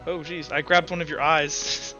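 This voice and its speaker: A man's voice: fairly high pitch, little pitch movement, moderate pace, sharp tone, highs cut off, faint music behind. A man talking casually as game master, running a tabletop roleplaying game.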